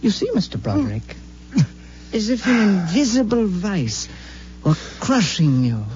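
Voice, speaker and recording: An actor playing a suave, cruel Martian villain who talks with formal, menacing courtesy in 1950s radio drama style. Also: male voice, high-pitched, very animated, a little slow, a little mellow. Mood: silky menace. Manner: formal, over-polite, menacing, savouring words. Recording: dull, noisy old radio broadcast.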